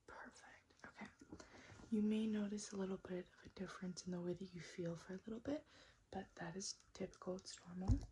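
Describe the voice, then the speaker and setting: A woman doing an ASMR roleplay as a repair technician, whispering and speaking softly in a gentle, reassuring, unhurried way. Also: mid-range voice, noisy background. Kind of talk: ASMR roleplay, mostly whispered and soft-spoken.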